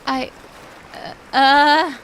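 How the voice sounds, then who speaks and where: A woman's voice: high voice, flat delivery, very slow, fairly bright tone, a little hiss or hum, heavily acted, poor audio. A woman playing a proud, easily flustered and annoyed young mermaid princess in a roleplay audio drama, pouty and prone to stammering. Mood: doubtful.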